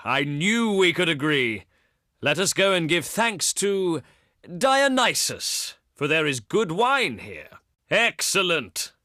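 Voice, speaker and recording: An actor playing a proud, pious ancient Greek diplomat in a strategy game, speaking in formal, theatrical oratory with haughty eloquence. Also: male voice, high-pitched, pushed and bright, dry recording, clean studio sound, full character voice. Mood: pleased, gracious. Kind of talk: theatrical scripted game dialogue.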